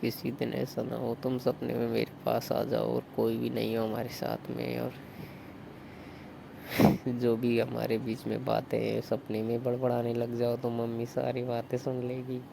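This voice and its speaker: A man acts out a resigned, flirty brother-in-law on a secret night call. His mid-pitched voice is extremely gravelly and creaky, and he talks in a low-key, conversational way. Clear noise sits behind the phone recording. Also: completely flat delivery, a little slow. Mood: gently teasing. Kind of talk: intimate staged phone-call acting in casual Hindi.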